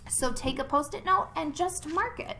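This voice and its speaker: A woman teacher giving a read-aloud lesson to young children, expressive and instructive, thinking aloud as she goes. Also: high-pitched, normal speed, slight background noise. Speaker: primary school teacher.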